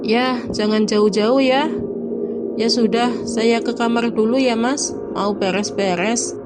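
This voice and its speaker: Woman as young mother, casual and talkative, bright-ish pitch. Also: steady tone, takes it slowly, heavy background noise. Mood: chatty. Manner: casual and chatty. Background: music.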